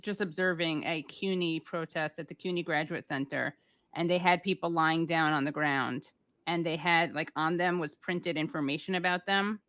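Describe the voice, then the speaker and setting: A woman hosting an interview, asking questions in a casual, halting way with frequent restarts. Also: low voice, fairly even intonation, fairly bright tone, no echo. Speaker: interviewer, host.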